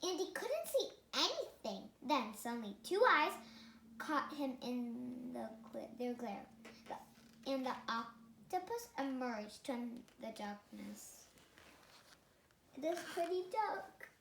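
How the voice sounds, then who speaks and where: Very high young girl's voice, echoey storytime. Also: sing-song, takes it slowly, vocal fry, fairly bright tone. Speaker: storybook reader. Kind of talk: read-aloud of a picture book with asides. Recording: echoey room with faint noise.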